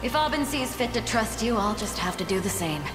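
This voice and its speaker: An actress playing a tough, distrustful captain of fighters in a video game, blunt and sardonic. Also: female voice, a little high, lively intonation, brisk, lightly acted. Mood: grudging. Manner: blunt, sardonic, hard-bitten. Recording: roomy echo, music behind.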